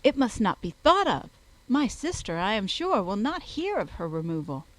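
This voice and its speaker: A woman voicing an amiable, impulsive young gentleman with an eager manner in a period novel audiobook. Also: mid-range voice, big pitch swings, normal speed, no room sound, natural voice, poor audio. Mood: alarmed, earnest. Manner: eager, good-natured.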